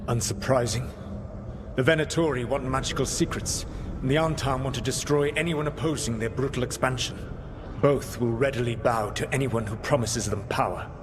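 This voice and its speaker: An actor playing a proud, weary ancient mage in a fantasy video game, speaking in measured, formal phrases. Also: male voice, mid-range voice, background noise, close to an everyday voice. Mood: grave, formal. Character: solemn, proud, weary ancient male mage, grave and self-justifying.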